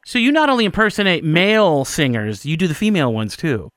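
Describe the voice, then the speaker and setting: A man's voice: fairly high pitch, big pitch swings, fairly quick, a bit bright, no room sound, studio quality. A man hosting a radio interview, asking friendly questions and laughing often. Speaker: radio host.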